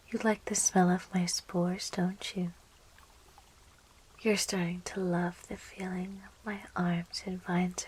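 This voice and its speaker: A woman voicing a young, possessive, lovestruck plant monster girl in an intimate, breathy, slow and coaxing ASMR roleplay, close to the microphone. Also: lowish voice, a little hiss or hum. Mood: hypnotic, coaxing. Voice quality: breathy, whispery. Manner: slow, coaxing, teasing, giggly, doting.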